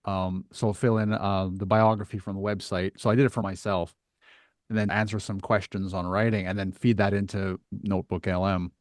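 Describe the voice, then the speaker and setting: A man with a fairly low voice hosts a casual interview. His speech is conversational and informal. The sound is dry, with no room echo. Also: flat delivery, normal speed. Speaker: podcast host.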